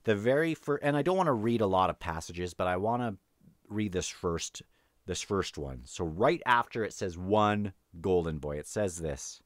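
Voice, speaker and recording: A man's voice: low voice, expressive, normal speed, no echo, clean studio sound. A man hosting an unscripted read-along book discussion, thinking aloud in a hesitant, rambling way.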